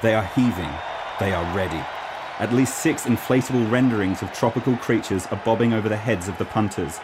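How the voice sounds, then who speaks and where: A man's voice: fairly low pitch, brisk, music in the background, a crowd in the background, poor audio. A man narrating a scripted comedy radio play in a mock-serious storytelling manner.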